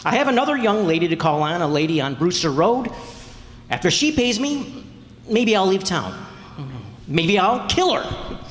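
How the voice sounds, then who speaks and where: A man's voice: sits high, big pitch swings, normal speed. A man playing a menacing, taunting killer who extorts women in an old-time radio crime drama. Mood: taunting menace. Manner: taunting, threatening. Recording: echoey hall, background noise.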